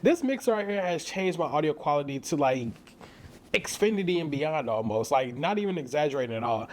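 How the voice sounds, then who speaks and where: A man's voice: a little high, expressive, a little fast. A man with a St. Louis American accent giving a casual, enthusiastic product review in slangy, conversational language. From St. Louis, Missouri, United States. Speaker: tech reviewer and content creator.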